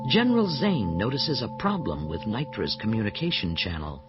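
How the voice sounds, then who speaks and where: A man's voice: fairly low pitch, sing-song, moderate pace. A man narrating a scripted radio play in a dramatic style. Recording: dull lo-fi radio play, music.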